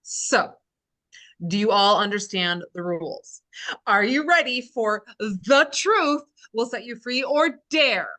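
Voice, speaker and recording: A woman's voice: on the high side, animated, slow, sharp tone, full character voice, rough recording. A woman reading the part of a bossy game show host in a comedy table read. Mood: brisk, hosting.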